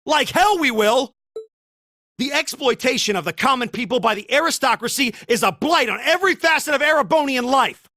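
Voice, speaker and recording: A man's voice: extremely high pitch, expressive, fairly quick, pushed and bright, no room sound, studio quality, exaggerated voice. An actor playing a self-righteous, indignant teenage male student in an anime game dub, heated and preachy.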